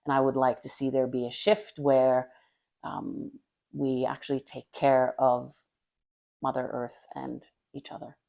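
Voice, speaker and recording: A low-pitched woman from Finland, an artist in her thirties, answers quick questions in a casual interview. She speaks earnestly and thoughtfully, warming into small laughs, from a table in a bright, art-filled living room. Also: fairly even intonation, gravelly. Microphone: camera across a table.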